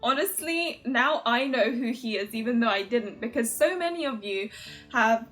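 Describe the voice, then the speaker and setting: A woman with a fairly high voice talks casually to her viewers as a video host. Her delivery is informal and rambling, with a giggly, gushing fan manner. Music plays along with her voice. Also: expressive, moderate pace, fairly bright tone. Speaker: host of a video channel about otome games.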